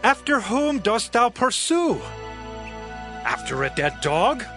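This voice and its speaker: A man playing an impassioned, loyal warrior pleading with his king in a dramatized scripture recording, in drawn-out declamatory archaic phrases. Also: extremely high pitch, sing-song, gravelly, over music, full character voice. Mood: aggrieved, solemn.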